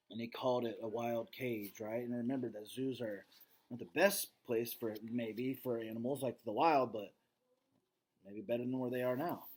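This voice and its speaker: A man's voice: mid-range voice, a little mellow. A man speaking as a teacher reading aloud to students, conversational and casual, often checking in with his listeners as he comments.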